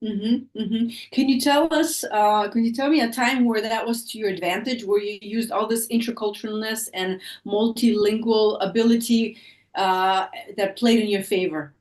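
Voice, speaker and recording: A woman's voice: medium pitch, fairly even intonation, normal speed, a little mellow. Woman host, echoey and distant. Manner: asks questions with frequent hesitations. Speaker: podcast host. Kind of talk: conversational interview. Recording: roomy echo, voice from the mic.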